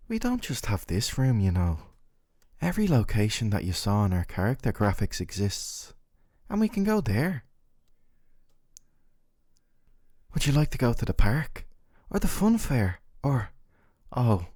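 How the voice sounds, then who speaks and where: Man acting a gentle, obsessive lover, low and mellow. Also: no echo, studio quality. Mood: affectionate, eager. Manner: tender, coaxing, clingy. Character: gentle, obsessive, possessive male dating-sim love interest. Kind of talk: intimate ASMR roleplay.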